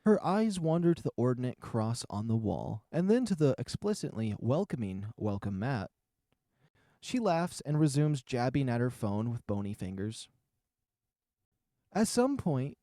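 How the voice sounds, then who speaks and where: A man's voice: medium pitch, sing-song, measured pace, mellow, dry recording, clean studio sound. A man reading scripted first-person narration from a visual novel.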